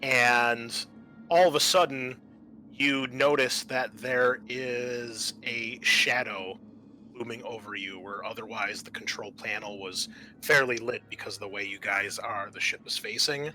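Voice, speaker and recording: A man working as game master, improvising narration for a tabletop roleplaying game in an informal, conversational, halting way. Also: medium pitch, bright, forceful tone. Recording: music behind the voice. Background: music.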